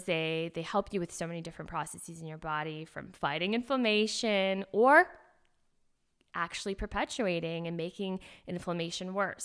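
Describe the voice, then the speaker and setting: A woman's voice: lowish voice, vocal fry, a bit bright, clean tone. A woman doctor, a guest on an interview, explaining medical terms step by step in an earnest way.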